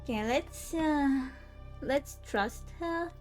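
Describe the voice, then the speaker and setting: High-voiced woman streaming a game. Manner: halting, stumbles when reading. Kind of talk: casual gameplay commentary and reading on-screen text aloud. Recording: game music behind. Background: video game music.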